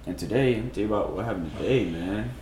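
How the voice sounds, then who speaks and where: A man's voice: lowish voice, fast talker. Laid-back young man, echoey room. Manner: laid-back slangy banter, laughs often. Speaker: podcast host. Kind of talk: casual podcast chat with friends. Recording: echoey room with background noise.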